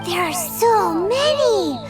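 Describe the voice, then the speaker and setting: Tiny squeaky fairy sidekick, food-obsessed, swoops all over, game music blasting. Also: female voice, slow pace, exaggerated voice. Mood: eager. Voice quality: high, squeaky and excitable. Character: small bubbly fairy companion, food-loving. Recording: game audio with loud music behind.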